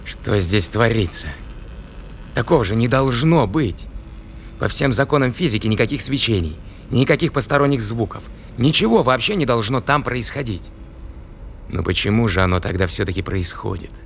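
A man's voice: mid-range voice, animated, moderate pace, creaky, slight background noise. An actor playing an urgent, demanding male spaceship captain in an audio drama. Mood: stunned disbelief.

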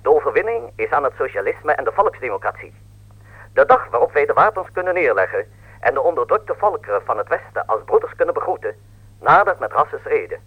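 An actor playing a solemn, formal foreign news correspondent in a radio play, delivering scripted reports in a declamatory way. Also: male voice, mid-range voice, big pitch swings, fairly quick, husky. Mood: declamatory, emphatic. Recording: dull lo-fi radio sound.

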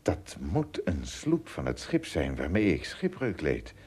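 Gentle giant castaway: extremely deep, lively intonation, hesitant, good-hearted. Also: male voice, measured pace, exaggerated voice. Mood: earnest. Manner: hesitant, repeating words, thinking aloud. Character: good-hearted, principled shipwrecked adult traveler, a giant here. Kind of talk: radio play acting.

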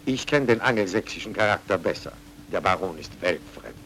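An actor playing a smooth, evasive man feigning concern, theatrical and questioning, in a dubbed film noir. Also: low-pitched, animated, a little fast, fairly bright tone, a little hiss or hum. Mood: smooth, veiled warning.

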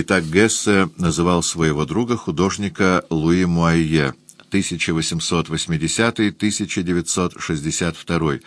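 Deep-voiced man reading a memoir aloud. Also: dry recording. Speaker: audiobook narrator. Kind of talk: scripted first-person literary reading.

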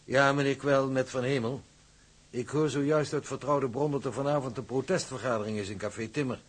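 A man playing a formal, calculating shipping company official in a radio drama, measured and officious. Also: mid-range voice, fairly even intonation, slight background noise, close to an everyday voice. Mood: cool, businesslike.

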